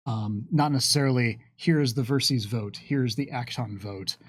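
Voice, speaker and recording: A man's voice: mid-range voice, a little slow, breathy edge. A man chatting casually on a livestream Q&A, reading out questions and answering them in a hesitant, thinking-aloud way. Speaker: game lore panelist reading viewer questions.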